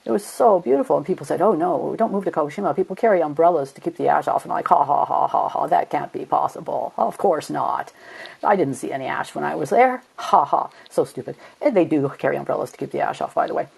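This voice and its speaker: A woman's voice: deep voice, quick pace, creaky, darkish tone, breathy edge, rough recording. A middle-aged woman, a tour leader, telling rambling anecdotes in a casual chat and laughing along. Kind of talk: casual storytelling.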